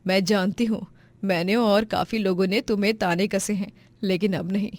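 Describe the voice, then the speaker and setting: A woman's voice: mid-range voice, fairly even intonation, normal speed, natural voice. A woman voicing a stern middle-aged mother-in-law in a family moral story, bossy in manner. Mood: conciliatory. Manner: bossy, scolding. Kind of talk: Hindi moral story voice acting.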